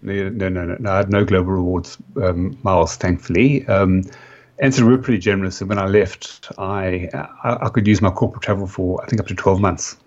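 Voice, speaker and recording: A South African man in his fifties, a company founder giving a podcast interview, talking haltingly with many fillers and restarts. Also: deep voice, steady tone, a little mellow. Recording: dull lo-fi sound.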